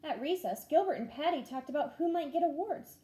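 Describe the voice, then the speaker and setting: A woman teacher reading a children's storybook aloud in an expressive, clearly paced way, acting out the dialogue. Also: sits high, a little fast.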